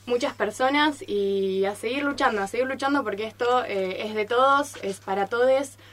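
Bright-voiced young woman speaking casually. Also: a little high, moderate pace. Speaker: guest visiting a radio studio. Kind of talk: casual, unscripted thanks on air.

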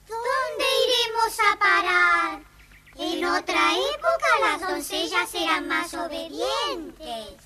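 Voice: extremely high pitch, animated, slow pace, over a noisy crowd, heavily acted. An actor voicing fretful little talking drops of magic blood in a children's audio storybook. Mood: pitying, indignant. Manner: fretful, exclaiming, commenting in dismay.

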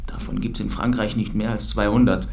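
Brisk, eager police detective. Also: male voice, on the low side, flat delivery, gravelly, a little mellow. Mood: brisk, factual. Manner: brisk, factual reporting. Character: male police detective, brisk, eager, factual team member. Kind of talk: radio drama acting.

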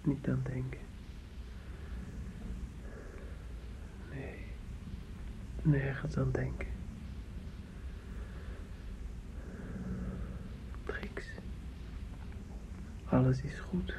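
An actor playing a tender, grieving young son in a radio drama, speaking in a hushed, dreamy, repetitive way. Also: male voice, mid-range voice, level tone, drawn-out words, gravelly, pauses often, whispered. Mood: hushed soothing. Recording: very echoey hall-like reverb, music behind. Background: music.

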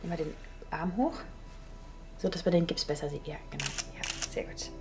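A woman playing a caring, inquisitive hospital visitor in a naturalistic radio drama, speaking casually and gently probing. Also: low-pitched, normal speed, creaky, over music.

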